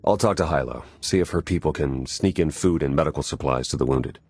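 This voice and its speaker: An actor playing a pragmatic, level-headed military strategist in a video game, measured and matter-of-fact. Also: male voice, rumbling low, a little mellow, slightly rough, no room sound, full character voice. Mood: sober.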